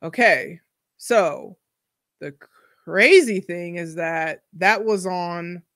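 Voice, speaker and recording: A woman's voice: fairly low pitch, lively intonation, very slow, a bit bright, dry recording, clean studio sound. A woman in her forties hosting a solo podcast, talking candidly in a chatty, slangy way full of asides. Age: 40s. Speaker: podcast host. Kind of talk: casual solo podcast monologue.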